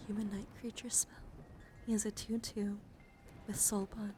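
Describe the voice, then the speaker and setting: Breathy woman with a creaky, whispery voice. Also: medium pitch. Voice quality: breathy, often slipping into whispers. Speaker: livestreamer showing her card deck. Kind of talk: casual ASMR hand-cam chat with viewers. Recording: noticeable background noise.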